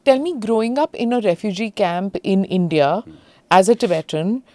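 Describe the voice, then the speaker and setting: A woman's voice: medium pitch, expressive, moderate pace, dark tone, no echo. Woman interviewer asking probing questions. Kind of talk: conversational interview.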